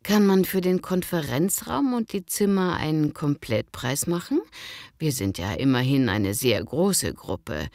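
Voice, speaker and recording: Woman acting as courteous female office client, deep for a woman, animated intonation, careful diction, lesson dialogue. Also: unhurried, dry recording, studio quality, full character voice. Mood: polite, businesslike. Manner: polite, clearly enunciated. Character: polite businesswoman booking a hotel conference by phone. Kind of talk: scripted language-lesson dialogue.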